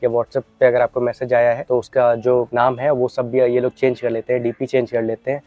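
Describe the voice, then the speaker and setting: A man's voice: mid-range voice, monotone, fairly quick, no echo, muffled recording. A man hosting an informal explainer, advising viewers directly in an instructive, repetitive way and mixing in English words. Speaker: host of an explainer video channel.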